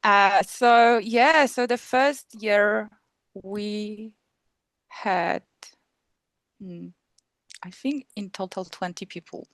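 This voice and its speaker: Polish accent woman, starts over a lot, laughs between bits, mid pitch, dry sound. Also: steady tone, no echo, low-quality recording. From Poland. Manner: halting, many restarts and laughs. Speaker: film festival co-founder. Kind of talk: casual interview chat.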